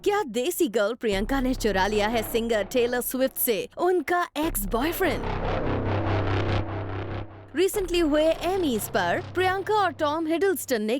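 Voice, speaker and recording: A woman voices a showbiz news report with theatrical, questioning flair and a slightly high pitch. Her tone rises and falls a great deal as she reads. Music plays clearly underneath her voice. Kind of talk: scripted entertainment news voice-over.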